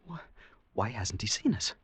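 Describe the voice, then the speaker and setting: A man's voice: on the low side, very animated, moderate pace, husky, no room sound, heavily acted. An actor in a 1940s radio drama playing a polite, timid male traveller swept into heroism, earnest and hesitant in delivery. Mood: tense, hushed. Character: timid, polite male traveller turned infatuated accidental hero. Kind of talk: 1940s radio acting and first-person narration. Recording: restored 1940s radio, faint noise, music.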